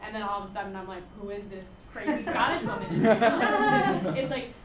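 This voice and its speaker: A woman's voice: on the high side, moderate pace, a crowd in the background. A young woman student chatting animatedly in a class discussion. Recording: echoey classroom, mic far off.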